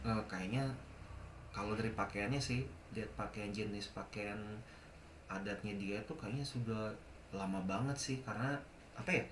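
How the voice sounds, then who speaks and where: A man's voice: on the low side, moderate pace, noisy background. A young man telling a ghost encounter in casual speech, hesitant and often correcting himself. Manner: hesitant, many self-corrections. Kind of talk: casual storytelling. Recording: roomy echo.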